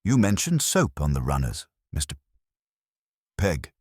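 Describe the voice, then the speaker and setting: A man voicing a mild, measured police inspector in an audio story, polite, understated and quietly probing. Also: very deep voice, huge pitch swings, breathy edge, no room sound, clean studio sound, full character voice.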